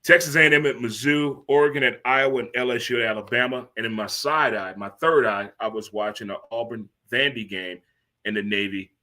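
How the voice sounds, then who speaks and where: A man in his fifties hosting a livestream sports talk show, rambling and animated, teasing himself and asking "huh?". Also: medium pitch, fairly quick. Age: 50s. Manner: talks to himself by name. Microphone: streaming studio mic.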